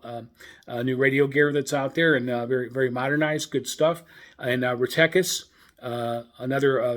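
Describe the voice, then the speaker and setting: A man's voice: mid-range voice, fairly bright tone. Hesitant man hosting an informal radio show. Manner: hesitant, halting delivery. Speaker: host of a shortwave radio news show. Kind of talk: informal hobbyist radio news commentary.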